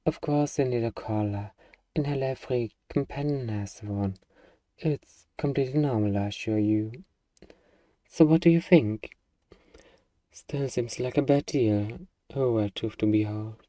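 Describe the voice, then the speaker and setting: A man's voice: mid-range voice, unhurried, dark tone, no echo, recorded from a distance, lightly acted. A man playing an eager, bargaining demon who craves a cuddly pet, in a playfully earnest, wheedling ASMR roleplay monologue. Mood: defensive, reassuring.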